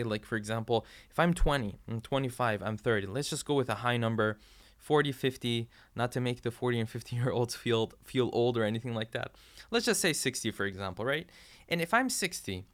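A man's voice: medium pitch, a bit bright, clear, resonant tone. Man teaching scripture with frequent tag questions. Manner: recites scripture verses fluently. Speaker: religious teacher. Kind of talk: explanatory religious lecture.

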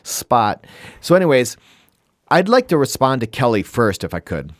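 A man co-hosting a studio podcast, talking casually with a jokey edge. His pitch swings widely as he talks. The sound is dry and close with no room echo. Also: normal speed. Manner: jokey, animated, laughs easily. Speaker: podcast co-host.

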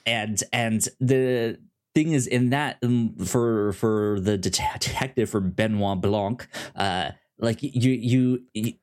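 Guy on a tv review podcast, lowish voice, takes his time, keeps restarting his words, casual. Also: a little mellow. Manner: halting, repeats words and restarts often. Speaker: podcast co-host.

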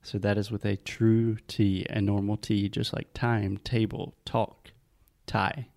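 A man's voice: lowish voice, level tone, slow, gravelly, a little mellow. A man co-hosting a casual chat podcast, patiently explaining English pronunciation. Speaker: podcast co-host, English teacher.

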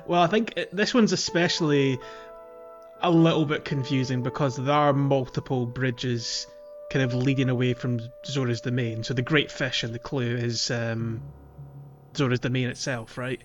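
A man's voice: mid-range voice, steady tone, moderate pace, highs cut off, music in the background. A man hosting a podcast, talking casually and chattily about a game in informal language. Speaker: podcast host.

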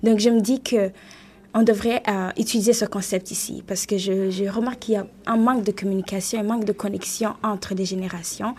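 A woman's voice: mid-range voice, steady tone, fairly quick, darkish tone, a little hiss or hum. A woman in her twenties from Rwanda, introducing herself conversationally as a studio panel guest. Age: 20s. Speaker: panel guest, writer and event planner.

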